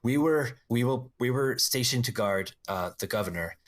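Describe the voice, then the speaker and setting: A man's voice: mid-range voice, lively intonation, normal speed, pitched above the performer's own voice, close to an everyday voice. A man playing a spy who poses as a flustered escaped captive, stammering and earnest, in a tabletop game. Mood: flustered, deferential. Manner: stammering, earnest, deferential, repeats himself.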